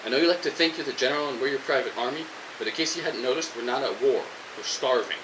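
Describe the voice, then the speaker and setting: A man's voice: medium pitch, fast talker, lightly acted. A man playing a defiant, fed-up, hungry survivor in a post-apocalyptic audio drama, arguing with a sarcastic edge. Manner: argumentative, sarcastic. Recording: steady hiss, noisy radio-style recording.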